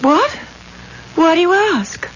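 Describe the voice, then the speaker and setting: An actress playing a fragile, devoted, ailing wife in an old-time radio drama, emotional and pleading. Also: female voice, very high-pitched, expressive, takes it slowly, heavily acted. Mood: indignant. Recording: dull old radio recording, faint hiss.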